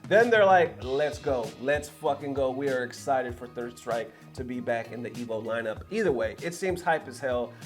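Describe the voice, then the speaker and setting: A man's voice: mid-range voice, expressive, normal speed, hardly any pauses. A man from the San Francisco Bay Area talking casually and with animation to viewers as a gaming news host, with slangy, sweary phrasing. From San Francisco Bay Area, California. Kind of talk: casual opinionated vlog talk to viewers. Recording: music playing behind the voice. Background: background music.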